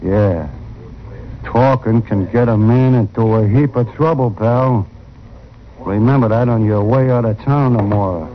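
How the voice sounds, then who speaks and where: A man's voice: medium pitch, measured pace, close to an everyday voice. An American man playing a bullying, menacing gambler in a radio western, with sneering, slangy tough talk. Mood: menacing, swaggering. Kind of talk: 1940s-50s radio western acting. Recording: muffled, noisy old radio recording.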